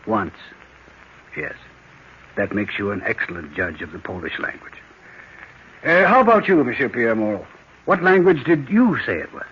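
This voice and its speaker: An actor playing a smug, playful French detective, teasing and questioning theatrically in an old-time radio mystery. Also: male voice, on the low side, huge pitch swings, moderate pace, pauses often, a little hiss or hum. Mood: probing, wry. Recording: dull muffled old radio recording.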